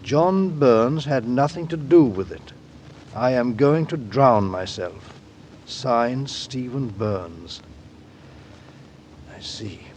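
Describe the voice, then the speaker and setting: An actor playing a methodical, formal police inspector with a measured, probing manner, in 1940s radio style. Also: male voice, mid-range voice, lively intonation, a little mellow, slight background noise. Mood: grave, formal.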